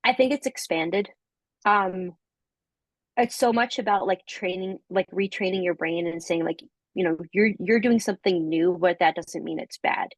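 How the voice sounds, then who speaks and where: A woman's voice: on the low side, dry recording, poor audio. Woman speaking in a dry, close sound. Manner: halting, many fillers and restarts, laughs often. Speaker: coaching client giving a testimonial. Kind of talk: casual reflective conversation.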